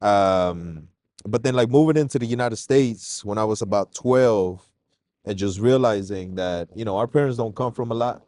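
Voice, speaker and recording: A man from Mexico talks loosely and casually, dropping slang like he's chatting with a friend. His voice sits fairly low. The sound is dry and close, with no room echo. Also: normal speed. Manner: relaxed, slangy, calls host "bro". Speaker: podcast guest. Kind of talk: casual podcast interview.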